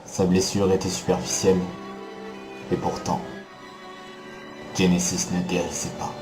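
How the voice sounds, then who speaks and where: A man playing a grave, composed elite soldier in a dramatic game dub, speaking in a measured, solemn, terse way. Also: low-pitched, flat delivery, music in the background. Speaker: fan dub voice actor.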